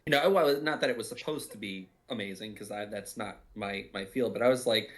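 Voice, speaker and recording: A man giving casual, unscripted commentary as a writer and cast member, retelling things with animated quoted asides. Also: lowish voice, brisk.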